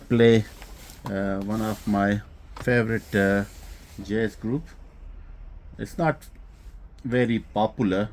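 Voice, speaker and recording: A man's voice: on the low side, darkish tone, slight background noise. A man who speaks English as a second language, casually presenting a product for his hobby channel in a hesitant way, with frequent filler sounds. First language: not English. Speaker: host of a hobby audio equipment channel. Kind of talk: casual product showcase vlog.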